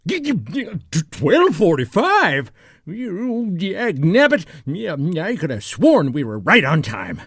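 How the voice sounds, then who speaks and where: A man playing a grumbly, addled old stagecoach driver in a comic western audio drama, with a folksy, stammering, drawn-out delivery. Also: sits high, huge pitch swings, a bit bright, husky, no room sound, full character voice. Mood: flustered.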